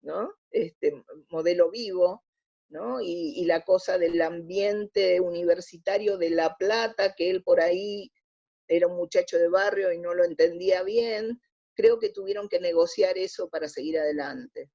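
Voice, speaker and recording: A woman in her sixties with a Buenos Aires Spanish accent reminisces conversationally as an interview guest, telling stories expressively with searching pauses. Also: on the low side, mellow. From Argentina. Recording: dull lo-fi audio.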